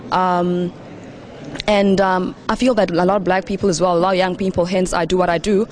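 Low-voiced young woman. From South Africa. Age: young adult. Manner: frequent ums and restarts, laughs between thoughts. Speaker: youth program founder. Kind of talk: interview remarks at a youth forum.

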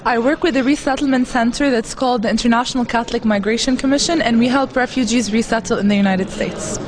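A woman's voice: a little high, steady tone, quick pace, a little hiss or hum. A young woman who works in refugee resettlement, speaking earnestly in an interview and building her points through repeated phrases. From Lebanon. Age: young adult. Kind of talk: interview remarks at a youth forum.

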